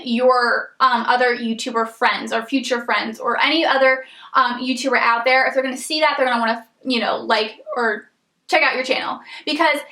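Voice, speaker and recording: A young woman giving casual tips to camera as a beauty vlogger, chatty and rambling with lots of ums, her voice a little raspy from a coming cold. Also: high-pitched, pushed and bright, reverberant. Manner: chatty, rambling, many ums and you-knows.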